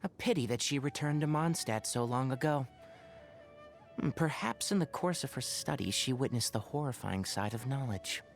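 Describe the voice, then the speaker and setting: A man's voice: mid-range voice, expressive, normal speed, faint music behind, close to an everyday voice. A man voicing an earnest, wry young forest ranger scholar in a video game, speaking in an articulate, thoughtful, caring way. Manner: articulate, thoughtful, dryly opinionated. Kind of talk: scripted game character monologue.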